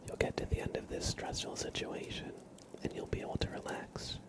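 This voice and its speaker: Whispering male ASMR streamer. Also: fairly quick. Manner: gentle, reassuring. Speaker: ASMR livestream host. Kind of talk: whispered ASMR, soothing affirmations and chat to viewers.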